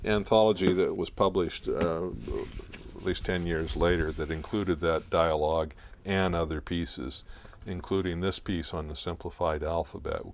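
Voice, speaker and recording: A man with a low, gravelly voice answers as an expert in an informal interview. He explains things in a deliberate, scholarly way, often halting and feeling for the next phrase. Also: no echo. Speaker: author and expert guest.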